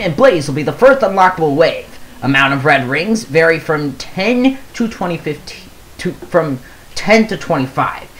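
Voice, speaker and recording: Casual gaming commentator with swinging, lively pitch. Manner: halting, stumbles over words while reading. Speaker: gaming video creator. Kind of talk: casual commentary, reading aloud a post.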